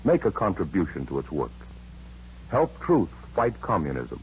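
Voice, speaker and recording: A man's voice: low-pitched, huge pitch swings, normal speed, darkish tone, slight background noise. An American man delivering grave, declamatory announcements in 1950s radio style. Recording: dull vintage radio recording.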